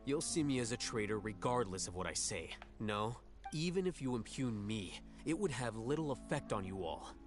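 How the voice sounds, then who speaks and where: A man's voice: medium pitch, animated, moderate pace, lightly acted. Man voicing a cool collected scholar guy in a game, articulate, matter of fact, music playing under him. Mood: defiant, accusing. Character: composed, coolly defiant male scholar. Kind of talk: video game voice acting. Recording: game dialogue over music.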